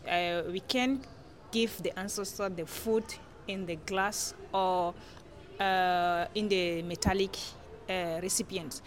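A woman from Cameroon with a Francophone Central African accent, giving explanatory interview answers as a guest scholar in halting, non-native English, often rephrasing. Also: on the low side, fairly even intonation, slight background noise. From Chad-born, grew up in northern Cameroon.

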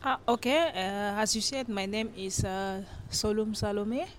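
A woman scholar with a Francophone Central African accent answers interview questions at an average pitch. She explains things haltingly, repeating and rephrasing as she goes. There is a light layer of noise behind her. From Chad-born, grew up in northern Cameroon. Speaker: guest scholar being interviewed. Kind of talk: explanatory interview answers in non-native English.

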